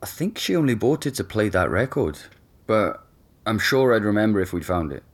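A man playing a wry, casual former flatmate in an audio drama, talking in a joking, hesitant, offhand way. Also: on the low side, moderate pace.

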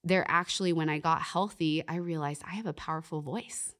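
Young woman on a faith podcast, kinda low voice, earnest and reflective, lots of "like". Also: brisk, fairly bright tone. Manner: earnest, reflective, often says "like" and "you know". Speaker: podcast guest. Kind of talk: faith-based podcast conversation.

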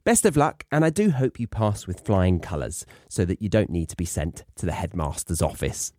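A British man hosts a podcast for English learners in a playful, conversational style. His intonation is very animated, and the sound is dry and close. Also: low-pitched, normal speed, no echo. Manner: playful, jokey. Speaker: podcast host and English teacher.

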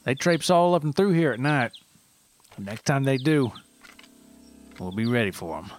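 A man's voice: mid-range voice, big pitch swings, normal speed, noisy background, voice pushed up, lightly acted. A man voicing a proud, wary male ginseng forager from Appalachia, with a folksy backwoods drawl, in a narrated story. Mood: wary, menacing.

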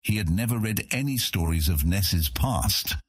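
A man narrating a fantasy audiobook in an expressive, scripted storytelling style. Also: low voice, a little slow, breathy edge, dry recording.

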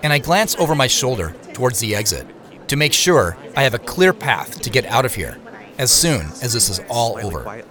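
A man's voice: medium pitch, very animated, moderate pace, fairly bright tone, soft background music. An actor playing an uneasy man in disguise who narrates his own story in the present tense for an audio drama. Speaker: narrator of the story in first person.

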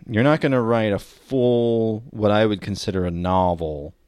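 A man's voice: fairly low pitch, fairly even intonation, normal speed, darkish tone, smooth, dry recording. A man co-hosting a podcast in casual comedic talk, explaining things in a wry, opinionated way. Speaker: podcast co-host.

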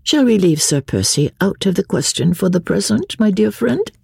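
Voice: mid-range voice, very animated, normal speed, vocal fry, darkish tone, breathy edge, no echo, clean studio sound, voice pushed up, full character voice. A narrator voicing a witty, playful young French aristocratic woman, bored and teasing, in an audiobook. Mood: cool, playful. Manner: playful, sighing, teasing, with light laughs.

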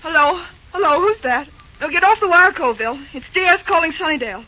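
A woman's voice: extremely high pitch, lively intonation, fairly quick. An actress playing an anxious, caring young woman at a railroad office in an old-time radio drama, fretful and exclaiming. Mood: urgent. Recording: dull lo-fi old radio recording.

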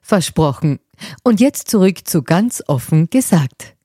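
A woman reading a scripted sponsor message for a podcast in a measured, explanatory way. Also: fairly low pitch, sing-song, warm tone, husky, no room sound. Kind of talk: scripted ad read.

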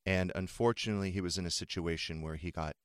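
Low-voiced man hosting gossipy talk. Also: fairly even intonation, quick pace, no echo, clean studio sound. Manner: frank, crude, probing questions. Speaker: talk show host. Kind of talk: casual, gossipy studio chat. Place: radio studio. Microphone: close studio microphone.

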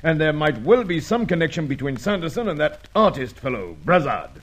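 An actor playing a gruff, indignant senior police detective in an old radio serial, blustering in a husky voice. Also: male voice, a little high, big pitch swings, brisk. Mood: exasperated, suspicious. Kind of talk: 1950s-60s radio serial acting.

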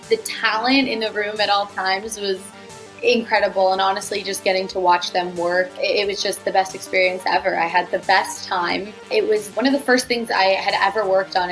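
A raspy-voiced young woman in her late teens, a young actress answering interview questions with gushing enthusiasm. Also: mid-range voice, fairly even intonation, moderate pace, a bit bright, soft background music, rough recording. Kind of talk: casual interview.

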